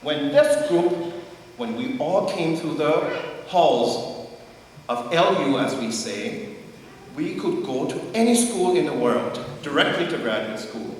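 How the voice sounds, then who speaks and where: A man's voice: fairly high pitch, big pitch swings, slow. A man from Liberia giving a formal tribute speech, emphatic and repeating key points for stress. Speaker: speaker presenting an honoree. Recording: echoey hall, faint noise.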